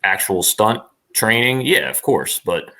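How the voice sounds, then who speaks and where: A man's voice: on the low side, expressive, a little slow, bright, forceful tone. A middle-aged man chatting casually as an interview guest on a livestream, emphatic and repeating points for stress. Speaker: interview guest, actor and former professional wrestler.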